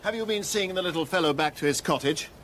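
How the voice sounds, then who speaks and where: An actor playing a proud, agitated upper-class English husband with a Received Pronunciation accent in a radio drama. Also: male voice, sits high, quick pace, fairly bright tone, slight background noise. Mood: fretful. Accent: British Received Pronunciation.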